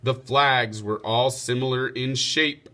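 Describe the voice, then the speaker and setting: A man reads a children's story aloud as a teacher would. His voice is bright and forceful, set at a middling pitch. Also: fairly even intonation, slow.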